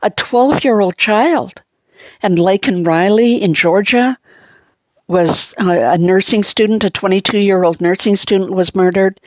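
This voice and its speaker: A middle-aged woman with an Anglophone Montreal accent, giving a halting personal monologue full of fillers and restarts. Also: mid-range voice, darkish tone, no echo, dull audio. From Montreal, Canada. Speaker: vlogger.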